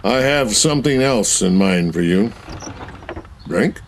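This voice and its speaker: An actor playing a commanding, wry senior naval commander who talks in a clipped, authoritative way. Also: male voice, fairly low pitch, animated, slow pace, slight background noise.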